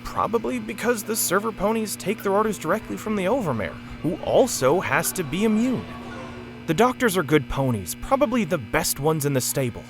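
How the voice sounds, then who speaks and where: An actor playing an earnest, loyal working stallion and parent in an audio drama, reasoning patiently. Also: male voice, high voice, sing-song, brisk, soft background music. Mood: earnest, insistent.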